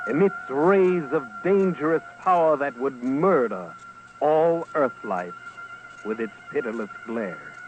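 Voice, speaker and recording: Solemn, fairly high-voiced male radio narrator. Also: highs cut off. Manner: solemn, portentous, deliberate, poetic delivery. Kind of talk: dramatic scripted radio documentary narration. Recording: vintage radio broadcast with loud music. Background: loud background music.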